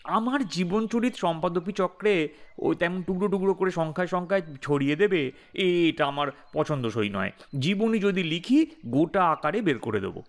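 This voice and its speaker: Male actor as reluctant, wry writer for comic audio play; lively swings, slightly high, teasing. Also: normal speed. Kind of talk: comic audio drama dialogue.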